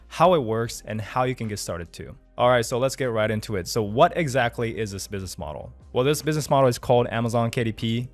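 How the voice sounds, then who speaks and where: A man around thirty from Japan, a native Japanese speaker, giving an upbeat, persuasive pitch to camera as an online business coach and often tagging on "okay?". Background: faint background music.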